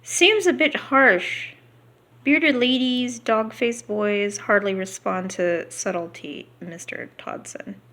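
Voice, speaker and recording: A woman reading a book aloud unrehearsed, halting here and there and slipping into casual asides. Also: fairly high pitch, fairly bright tone.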